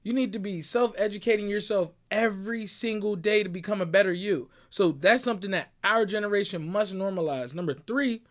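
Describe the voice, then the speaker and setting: A man's voice: high-pitched, a little fast, hardly any pauses, fairly bright tone. A young man from Barbados giving an emphatic, preachy motivational talk, constantly checking in with "right?" and "bruh". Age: young adult. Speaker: motivational speaker. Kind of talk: motivational monologue. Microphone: audio clip recording.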